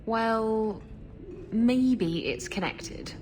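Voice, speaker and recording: A woman's voice: fairly high pitch, extremely slow, a little mellow, slight background noise, rough recording. A woman playing a brash, evasive female pirate rogue in a video game, with a glib, wheedling, flippant manner.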